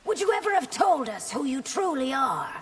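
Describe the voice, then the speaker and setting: An actress playing a stern, commanding young warrior empress in a video game, imperious and curt. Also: female voice, high voice, very animated, normal speed, very noisy. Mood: accusing.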